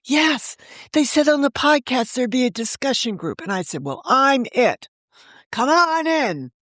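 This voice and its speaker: A woman's voice: sits high, sing-song, unhurried, fairly bright tone, no room sound. Woman, interview guest, chatty storyteller, dry sound. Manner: chatty storyteller, laughs often. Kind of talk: casual interview chat.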